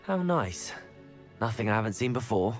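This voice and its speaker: An actor playing a calm, resolute young male wizard hero in a video game, with a measured, dry delivery. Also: mid-range voice, soft background music, natural voice. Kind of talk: scripted video game dialogue.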